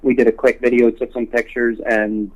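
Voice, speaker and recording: A man speaking as a guest in a telephone interview, earnest and halting, often repeating words as he makes his case. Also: medium pitch, little pitch movement, darkish tone, highs cut off. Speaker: interview guest, homelessness awareness activist. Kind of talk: telephone interview, spontaneous advocacy talk. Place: on a telephone call.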